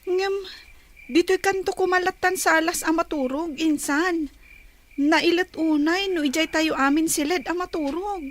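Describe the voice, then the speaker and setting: A woman plays a dutiful young daughter with an extremely high, girlish voice. She speaks with an Ilocano accent in the earnest style of a village radio drama. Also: measured pace. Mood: questioning.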